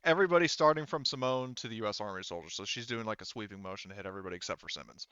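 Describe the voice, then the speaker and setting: Male game master, hesitant and casual, clear resonant voice, close dry sound with muted top end. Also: on the low side, very fast, sharp tone, no room sound, highs cut off. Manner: hesitant, thinking aloud. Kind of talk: casual narration and refereeing of a tabletop game.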